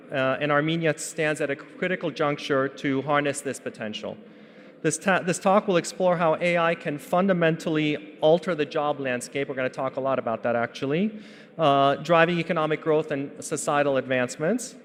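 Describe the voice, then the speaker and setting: Male panel moderator, formal and halting, slightly high voice, long hall reverb. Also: a little hiss or hum. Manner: formal, with frequent "uh" fillers and restarts. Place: large conference hall.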